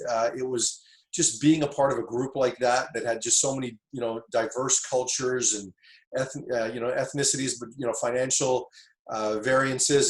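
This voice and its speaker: A middle-aged man, originally from Tanzania, speaks at a mid pitch as he recalls his path into football coaching. He talks in an easygoing, reflective way, often circling back with fillers and restarts. The sound has a noticeable roomy echo. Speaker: football coach, interview guest. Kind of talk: casual interview storytelling.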